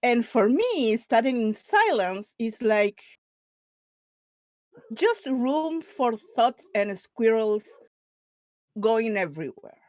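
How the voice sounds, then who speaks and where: A woman from Chile with a Spanish accent, speaking English as a second language, moderating a casual panel chat. She talks in quick bursts, laughs often and restarts her sentences. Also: fairly high pitch, big pitch swings, darkish tone, dry recording.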